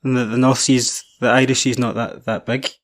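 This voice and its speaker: A man in his twenties hosting a podcast, reading a measured intro and then chatting friendly with his guest. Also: mid-range voice, level tone. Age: 20s. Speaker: podcast host. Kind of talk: scripted intro then casual interview.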